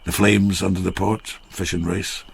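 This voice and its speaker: An actor playing a widowed peasant farmer, boisterous and fond of drink, who rambles jovially and turns reflective in a radio drama. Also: male voice, sits low, flat delivery, normal speed, gravelly, a little hiss or hum. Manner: jovial, rambling, slightly tipsy. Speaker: Takeshi, a farmer friend.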